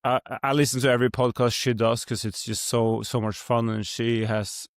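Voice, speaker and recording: A man's voice: mid-range voice, level tone, moderate pace, no room sound, studio quality. A young man from Norway with a Norwegian accent, hosting a podcast and musing in a rambling, reflective way with many fillers. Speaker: podcast host. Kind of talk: casual podcast conversation.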